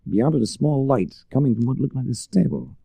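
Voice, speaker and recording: An American actor playing a cool, worldly adventurer with a suave, laconic way, in the style of hard-boiled 1940s radio drama. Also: male voice, mid-range voice, fairly quick, creaky, mellow, breathy edge, no room sound. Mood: brooding narration.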